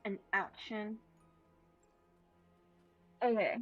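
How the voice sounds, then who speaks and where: A woman's voice: mid-range voice, steady tone, extremely slow, fairly bright tone, soft background music. A woman playing a tabletop game, chatting casually with hesitant questions on a slightly muffled mic.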